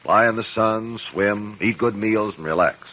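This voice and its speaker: An actor playing a calm, worldly crime investigator in a 1950s radio drama, speaking in a measured, grave way. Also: male voice, on the low side. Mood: relaxed. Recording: dull, muffled 1950s radio recording.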